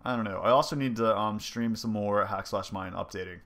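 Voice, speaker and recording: A young man with a somewhat low voice, stuffy and congested as if from a cold. He talks casually and loosely, thinking aloud with frequent ums and likes as he narrates his own gameplay footage. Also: brisk, fairly bright tone. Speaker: indie game developer. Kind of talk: casual devlog commentary over gameplay.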